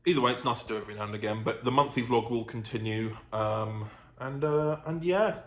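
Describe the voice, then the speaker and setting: Male vlogger, medium pitch, casual and hesitant, self-correcting, roomy home sound. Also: a little fast. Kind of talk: casual rambling vlog chat. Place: living room of own apartment. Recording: echoey room with faint ambient noise.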